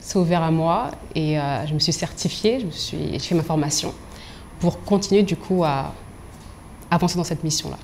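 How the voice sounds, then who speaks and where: A young woman from Angola and Congo, speaking as a professional coach in an interview, articulate and expressive as she tells her own story. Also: low-pitched, normal speed, a little hiss or hum. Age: young adult.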